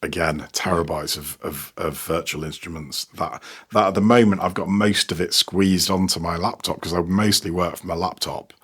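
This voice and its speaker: A man chatting casually as a podcast guest, haltingly, repeating words as he goes. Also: low voice, fairly even intonation, fairly bright tone, husky. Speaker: podcast guest, sound designer. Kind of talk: casual interview chat.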